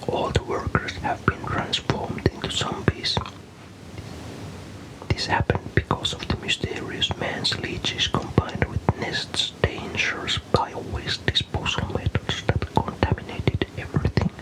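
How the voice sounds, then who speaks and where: A man from Finland narrates a story in a soft, breathy, unvoiced ASMR whisper, calm, careful and unhurried. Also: rough recording.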